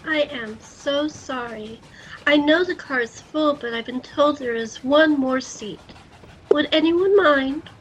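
A woman's voice: high-pitched, slow pace, a little hiss or hum, low-quality recording. A woman playing a sweet, genteel, ageless lady of mystery in an audio fantasy drama. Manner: polite, sweet, cryptic.

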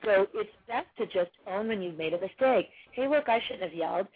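A woman with a husky, loud voice gives steady parenting advice, restarting her sentences as she goes. The sound is narrow and tinny, like a phone call. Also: medium pitch, fairly quick. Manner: earnest, advising, many restarts. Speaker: parenting coach guest. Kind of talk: casual podcast conversation.

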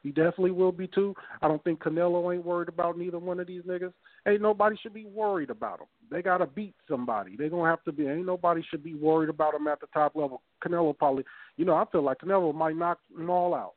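A man's voice: on the high side, fast talker, dry recording. Man in his thirties chatting boxing over a tinny line. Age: 30s. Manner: loose, rambling, swears freely, restarts often. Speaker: podcast co-host. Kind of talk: casual boxing chat. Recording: tinny phone-line sound.

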